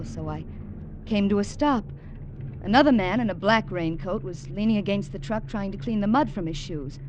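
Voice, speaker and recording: A woman's voice: medium pitch, background noise, lightly acted. A woman playing a nervous young New England driver. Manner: breathless, stammering in tense moments. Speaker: narrator and lead character. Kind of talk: 1950s radio drama narration and acting.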